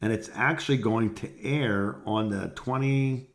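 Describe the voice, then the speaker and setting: A man's voice: mid-range voice, unhurried. Middle-aged man, rambling casual talker. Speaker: podcast co-host, former radio host. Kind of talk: casual chat, reminiscing.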